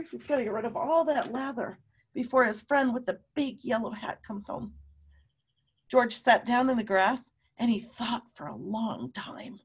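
A woman in her fifties reading a story aloud to young children, with animated, drawn-out emphasis and questions to her listeners. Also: high-pitched, husky. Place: room at home.

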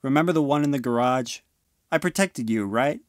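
Lively, dry-sounding protective teen brother, acted by a man. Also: mid-range voice, a little fast, no echo, lightly acted. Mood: gentle, reassuring. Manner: gentle, casual, earnest. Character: protective teenage older brother, gently reassuring. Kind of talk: audio drama acting.

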